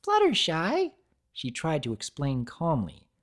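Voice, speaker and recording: A woman's voice: very deep voice, big pitch swings, unhurried, a little mellow. A woman giving a dramatic, scripted narration of a story as its narrator.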